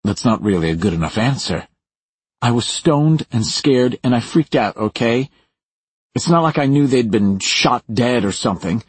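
A man voicing a haunted, defensive woman in an audiobook, urgent and halting, with a raised husky voice. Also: medium pitch, lively intonation, dry recording, pitched above the performer's own voice. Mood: panicked, defensive. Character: haunted, defensive woman recounting a traumatic night. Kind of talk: audiobook character dialogue.

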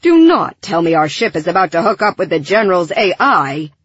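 Lady doing a loud teasing space crew gal, husky, emphatic, audiobook, bit muffled. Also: fairly low pitch, very animated, a little fast, no room sound. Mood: dismayed. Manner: emphatic, exclaiming. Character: boisterous, teasing female spaceship crewmate.

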